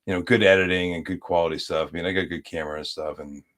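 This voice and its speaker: A man's voice: low voice, steady tone, fast, fairly bright tone. A man from the United States chatting as a podcast guest, easygoing and rambling as he tells stories. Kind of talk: casual chat.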